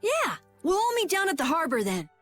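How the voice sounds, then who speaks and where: A high-pitched, very lively young boy acted by a woman from a video game. He is earnest and eager to explain, with a bashful streak of self-doubt, over faint music. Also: fairly quick, no echo, full character voice. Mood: earnest, curious. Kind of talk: animated RPG video game dub. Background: faint background music.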